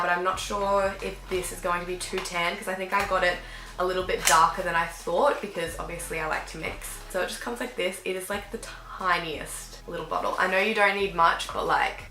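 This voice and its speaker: Young woman beauty vlogger with a cold, bright, slightly nasal voice, chatty, roomy bedroom sound, faint music behind. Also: on the low side. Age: young adult. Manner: chatty, filler-heavy, talks while applying makeup. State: sick, a bit croaky and nasal. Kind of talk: casual makeup tutorial chat. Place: dim bedroom with fairy lights, indoors.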